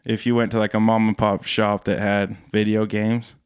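A man's voice: fairly low pitch, level tone, normal speed, clean tone, dry recording, clean studio sound. A young man telling rambling stories in casual chat, laughing and swearing, tired from staying up all night.

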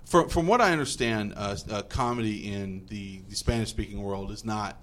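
A man's voice: lowish voice, fairly bright tone. Young dude podcast host, rambling chat, trips over his words a lot. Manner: rambling, halting, many restarts.

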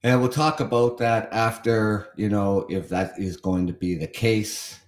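A man's voice: fairly low pitch, steady tone, unhurried, echoey room. A Canadian man hosting a solo podcast, chatting and commenting as he reads from an article, with some repetition. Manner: chatty, repeats himself, comments on what he reads. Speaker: podcast host.

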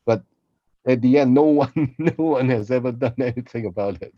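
A man co-hosting a casual chat in a halting manner, often repeating his phrases. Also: mid-range voice, no room sound. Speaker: podcast host, voice performer. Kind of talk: casual behind-the-scenes chat. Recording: slightly dull, lo-fi sound.

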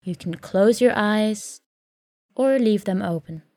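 A woman leading a guided sleep meditation in a calm, soothing, gentle way, leaving long pauses between phrases. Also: mid-range voice, no room sound, clean studio sound. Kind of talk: guided sleep meditation, soft scripted narration.

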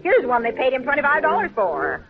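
An actress playing a chatty, proud small-town housekeeper in 1930s radio style. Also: female voice, high voice, wildly swooping pitch, brisk, crowd noise. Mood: earnest. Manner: chatty, reciting sentimental verse earnestly. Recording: lo-fi 1930s radio broadcast, audience noise.

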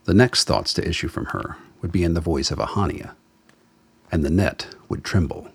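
A man's voice: low voice, moderate pace, creaky. A man reading scripted dramatic narration as the narrator of a radio play.

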